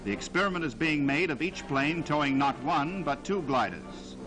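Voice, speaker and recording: A man narrating a 1940s newsreel in a dramatic, declamatory style with emphatic, measured phrasing, on a vintage soundtrack. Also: fairly high pitch, animated, loud music behind the voice, poor audio.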